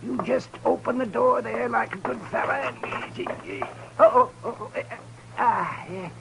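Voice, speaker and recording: A greedy, macabre Old West doctor with an extremely high voice and American accent. He chatters with ghoulish cheer in radio-play style, on a faintly hissy old recording. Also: moderate pace, gravelly. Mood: ghoulish glee. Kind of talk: old-time radio drama acting.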